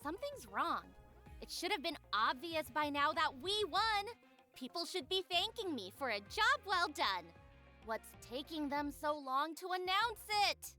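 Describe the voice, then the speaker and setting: A woman voices a cocky schoolgirl with a high, bright voice and lively swings in pitch. She is forceful, self-assured and quick to get exasperated, in a game-dialogue style over music. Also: exaggerated voice. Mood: cocky, insistent. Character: brash, cocky middle-school girl with a short temper. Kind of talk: animated visual novel character acting.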